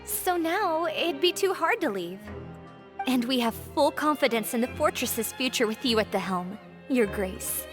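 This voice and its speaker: High-pitched, earnest young prisoner bride. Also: female voice, a little slow, music in the background. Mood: grateful. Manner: courteous, expressive. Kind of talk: game dialogue.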